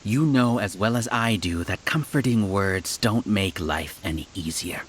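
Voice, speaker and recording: A condescending male scholar with a hive-mind scheme for a video game. His speech is smooth and lecturing, and his pitch moves a lot. He sounds coldly sure of himself. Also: expressive, measured pace, a little hiss or hum. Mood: scornful. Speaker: villain.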